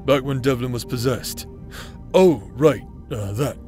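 A man playing a foul-mouthed, prickly injured bastard warrior in a roleplay audio drama, gruff and sarcastic. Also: medium pitch, a little slow, darkish tone, faint music behind, close to an everyday voice. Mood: guarded.

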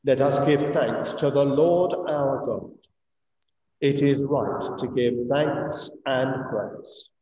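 A middle-aged man with an English accent, a priest reciting communion liturgy in a solemn, measured manner. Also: mid-range voice, warm tone, slight background noise. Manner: solemn, measured, deliberate phrasing. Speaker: priest leading communion service. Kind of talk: liturgical recitation of a eucharistic prayer. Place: inside a church, streamed over video call. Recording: echoey church, distant mic, muffled video-call sound.

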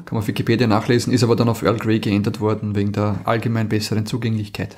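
A man, co-hosting a podcast in casual chat, rambling and halting as he talks. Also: fairly low pitch, little pitch movement, a little mellow. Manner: rambling, halting, lots of fillers. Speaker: podcast co-host.

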